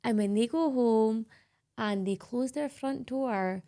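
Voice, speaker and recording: A woman's voice: on the high side, slow pace, warm tone. A Scottish woman hosting a podcast, talking candidly and emphatically in casual interview chat. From Scotland. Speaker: podcast host.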